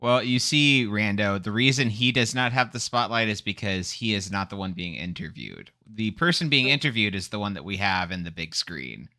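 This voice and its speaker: Upbeat man hosting, bright dry sound. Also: lowish voice, expressive, moderate pace, few pauses, no echo. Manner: upbeat, playful, laughs often. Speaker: host and interviewer. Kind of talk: casual chat.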